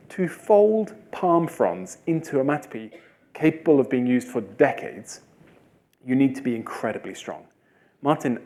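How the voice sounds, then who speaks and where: Man, speaker in a Q&A, lively storyteller, jokey, mid-pitched. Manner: lively, jokey, acts out quoted villagers. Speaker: speaker at a talk. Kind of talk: animated Q&A storytelling. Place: lecture hall with audience.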